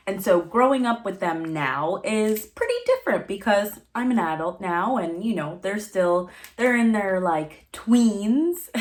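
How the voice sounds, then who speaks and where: Slow, playful young woman vlogging. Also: lowish voice. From Montreal, Canada. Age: 20s. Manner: playful, chatty, laughs often. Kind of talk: casual chat to camera while eating.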